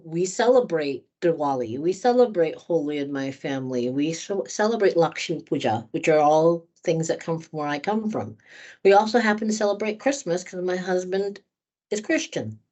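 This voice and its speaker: A woman's voice: lowish voice, moderate pace, warm tone, highs cut off. A woman trainer answering questions in a conversational workshop, candid and thoughtful, speaking at length. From United States.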